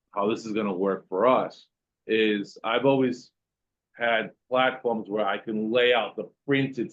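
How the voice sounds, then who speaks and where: A man asking questions in an online meeting, with a loud voice and many fillers and pauses. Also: lowish voice, muffled recording. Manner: loud voice, talks with hesitations and fillers. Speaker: workshop participant.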